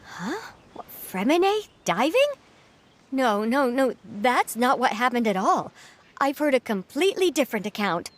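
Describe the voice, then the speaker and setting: Earnest, worried young game lady: high, lively pitch, emphatic and talkative. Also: measured pace, a little hiss or hum. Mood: worried, insistent. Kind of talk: video game voice acting.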